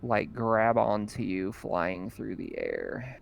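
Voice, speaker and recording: A man running a tabletop roleplaying game as game master, explaining rules in a relaxed way with fillers. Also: fairly low pitch, slow, gravelly, highs cut off, a little hiss or hum.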